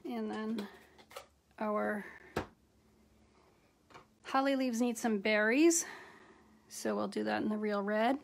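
A middle-aged woman casually demonstrating a craft step by step, speaking in an unhurried, halting way as she works with her hands. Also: medium pitch, creaky. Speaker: craft tutorial host. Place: indoors at a craft table. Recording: noisy home recording with clicks.

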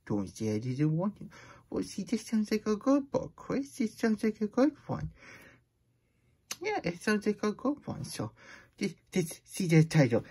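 Man voicing a husky, slow-talking childlike plush hedgehog. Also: sits high, huge pitch swings, darkish tone, heavily acted. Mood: chatty, then exasperated. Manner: stammering, childish grammar, exasperated asides. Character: plush hedgehog storyteller, childlike, fretful and grumbly. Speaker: host of children's story time. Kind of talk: puppet read-aloud with chatty asides.

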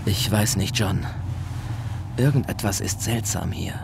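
An actor playing an anxious, determined demon hunter with an intense, pressing manner in a horror audio drama. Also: male voice, fairly low pitch, unhurried, creaky. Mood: wary, hushed. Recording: noisy scene ambience behind.

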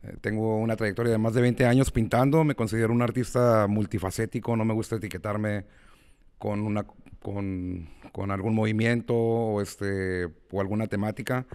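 A man's voice: lowish voice, steady tone, moderate pace, darkish tone, clear, resonant tone. A middle-aged man with a Tijuana border accent, introducing himself informally as a painter on a panel. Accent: Mexican border Spanish, Tijuana. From Tijuana and San Ysidro border region. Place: indoor room, seated panel.

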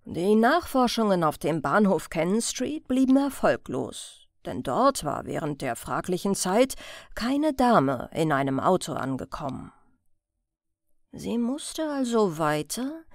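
A woman reading a crime story as an audiobook narrator in a measured, careful storytelling manner. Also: on the low side, big pitch swings, dry recording, studio quality.

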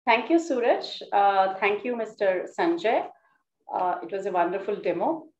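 A woman's voice: mid-range voice, animated, moderate pace, a little mellow. A woman moderating a video conference, with polite, brief prompts. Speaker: session moderator. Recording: roomy, dull video call audio.

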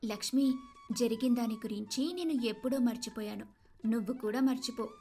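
A woman's voice: a little high, moderate pace, warm tone, faint music behind, natural voice. A woman voicing a patient, hardworking, forgiving daughter-in-law in a dramatized moral story. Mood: calm, accepting.

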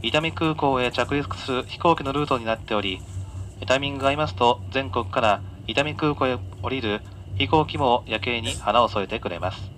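A male train conductor speaks in a bright, forward voice at a middle pitch. He gives formal, polite on-board announcements over the PA, with faint train noise behind him. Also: low-quality recording. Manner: polite, measured, formal delivery. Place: inside a moving train, over the announcement system. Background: faint train running noise.

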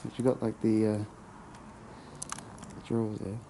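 A man's voice: on the low side, little pitch movement, a little slow, vocal fry. Young english guy swearing his way through a burnt-out ruin, noisy camera mic. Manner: joking, crude, swears often. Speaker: urban explorer vlogger. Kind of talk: casual exploring commentary. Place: dark abandoned burnt-out building, indoors. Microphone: handheld camera. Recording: noisy handheld camera audio.

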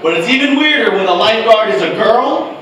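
High-voiced man from Hawaii doing stand-up. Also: lively intonation. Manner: loose, crude storytelling with quick asides to the crowd. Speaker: stand-up comedian. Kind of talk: stand-up comedy set. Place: stage of an indoor comedy club. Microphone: handheld mic, recorded by a camera far back in the room. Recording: echoey club audio from far off, loud crowd.

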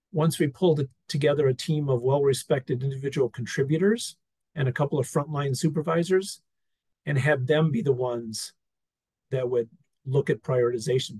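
A man presenting an experience report over slides on a video call, talking in a relaxed, wry way with fillers and restarts. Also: medium pitch, no room sound, low-quality recording. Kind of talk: conversational talk over slides.

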